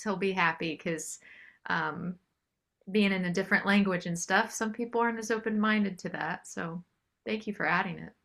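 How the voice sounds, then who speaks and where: A woman's voice: mid-range voice, pushed and bright. A woman chatting casually as an interview guest, appreciative and agreeable in manner. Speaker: singer-songwriter guest.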